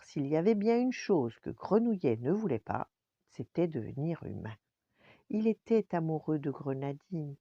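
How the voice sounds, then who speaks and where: A woman's voice: on the low side, warm tone, dry recording. Older woman storyteller, dry muffled sound. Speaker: grandmother storyteller. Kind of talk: expressive bedtime storytelling for children.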